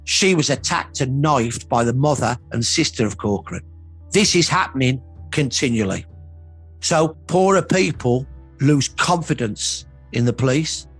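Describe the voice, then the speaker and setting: A man's voice: medium pitch, expressive, unhurried, breathy edge. A man explaining history emphatically as an expert in a documentary interview, repeating phrases for stress. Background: faint background music.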